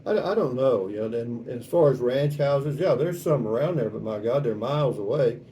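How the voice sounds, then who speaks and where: Texan male, 70s, wry folksy vlog host. Very soft-edged, warm tone, casual delivery, distant mic with slight noise. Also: medium pitch, lively intonation, moderate pace. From South Texas, United States. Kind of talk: casual chat, reading out and answering viewer comments.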